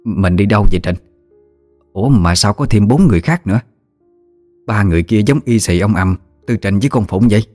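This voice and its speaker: Storybook great-aunt played by a man: elderly, rural Southern Vietnamese, outspoken, folksy, low register over soft music. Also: fairly quick, lightly acted. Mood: amazed, chatty. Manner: blunt, exclamatory, folksy. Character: feisty old countrywoman, blunt, easily amazed, great-aunt. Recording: music behind the voice.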